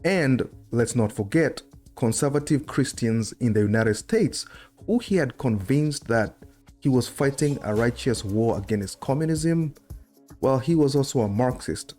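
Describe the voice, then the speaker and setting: A man's voice: mid-range voice, dark tone. A middle-aged man giving informal historical commentary as a host, conversational and explanatory, speaking into a close desktop microphone indoors. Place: indoor room at a desk. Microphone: desktop microphone close to the mouth.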